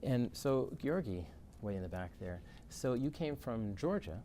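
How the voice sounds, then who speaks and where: A man's voice: mid-range voice, animated, a little slow, warm tone, a little hiss or hum. A man hosting an interview as a music professor. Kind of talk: hosted interview.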